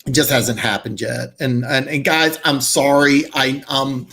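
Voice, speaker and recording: Hoarse, stuffed-up young man at a mid-range pitch, host of a live sports chat, rambly and eager. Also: steady tone, unhurried, a bit bright. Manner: rambling, many restarts and fillers, enthusiastic. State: hoarse, congested. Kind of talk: casual live-stream chat answering comments.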